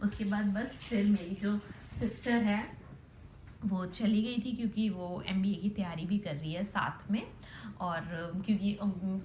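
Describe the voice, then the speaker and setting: A woman's voice: medium pitch, darkish tone. A young woman talks casually, as in a personal audio diary. She rambles in a conversational way and drops in English words. The recording is noticeably roomy, with faint noise behind her. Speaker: vlogger. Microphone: phone set down nearby. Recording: roomy echo with background noise.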